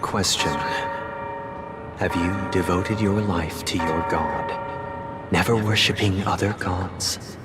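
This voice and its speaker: A man's voice: low voice, steady tone, takes it slowly, pauses often. A man playing a devout, solemn young interrogator in a video game, speaking with formal, ritual measure over music. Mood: stern questioning. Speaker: character voice actor. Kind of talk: scripted video game drama acting.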